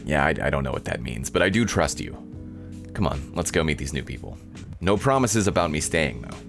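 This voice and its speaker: A man's voice: very deep voice, very animated, brisk, over music, pitched below the performer's own voice, full character voice. A man voicing a sardonic, disappointed young male protagonist, narrating a visual novel in a dry, incredulous way.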